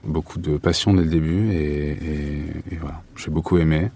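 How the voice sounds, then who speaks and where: A man's voice: extremely low pitch, steady tone, normal speed, warm tone. A French man from Paris giving casual, understated and hesitant answers as an interview guest. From Paris, France.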